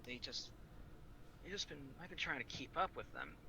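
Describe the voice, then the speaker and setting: A man's voice: medium pitch, lively intonation, moderate pace. A man chatting casually in character during an improvised online role-play game. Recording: noisy online game voice chat.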